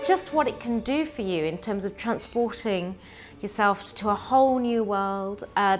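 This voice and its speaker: A woman speaking earnestly in a documentary interview about reading and her city. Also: medium pitch. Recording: music playing behind.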